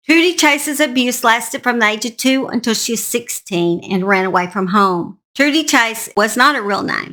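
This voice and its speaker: A woman hosting a true crime show, telling a story in a measured narration with informal personal asides. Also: a little high, pushed and bright. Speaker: true crime channel host.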